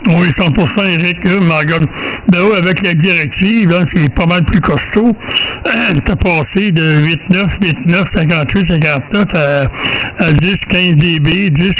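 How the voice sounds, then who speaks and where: A man's voice: fairly high pitch, a little slow, slight background noise, rough recording. A man with a Quebec French accent chats casually and a little hesitantly as an amateur radio operator. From Quebec, Canada. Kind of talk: casual radio contact chat.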